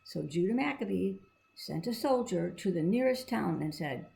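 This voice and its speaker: A woman's voice: fairly low pitch, lively intonation, normal speed, vocal fry, mellow, low-quality recording. A woman reading and explaining a storybook to children in a simple, encouraging, teacherly manner. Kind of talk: read-aloud with explanatory asides to children.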